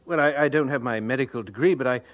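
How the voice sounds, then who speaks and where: An actor playing an earnest, idealistic young missionary in an audio drama, eager, pious and a little naive. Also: male voice, medium pitch, fast, close to an everyday voice. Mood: defensive, earnest. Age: young adult.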